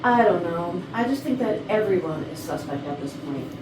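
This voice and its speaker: A woman's voice: on the low side, expressive, normal speed, close to an everyday voice. A woman playing a dutiful, wary assistant to a company boss in a staged comedy reading. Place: rehearsal room. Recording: noisy echoey room, distant mic.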